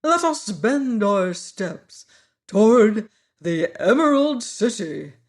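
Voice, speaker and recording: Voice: medium pitch, big pitch swings, slow pace, voice pushed up. A reader voicing a pompous, learned male insect who lectures in grand, formal phrases. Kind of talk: audiobook character voice.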